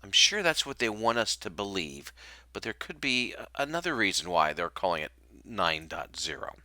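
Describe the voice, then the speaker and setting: Bright, informal man hosting a show. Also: lowish voice, sing-song, moderate pace, no room sound. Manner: informal, joking. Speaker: show host. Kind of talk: casual talk over slides, introducing a guest.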